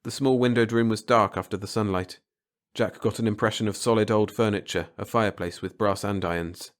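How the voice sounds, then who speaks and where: A man's voice: on the low side, steady tone, brisk. A man reading a short story aloud as a dramatic, scripted audiobook narration.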